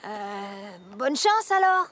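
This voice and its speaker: A woman's voice: fairly high pitch, big pitch swings, slow pace, fairly bright tone, heavily acted. A woman voicing an earnest, protective big-sister pony, frank and plain-spoken, in a cartoon. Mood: concerned. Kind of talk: animated cartoon dubbing. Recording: faint background music.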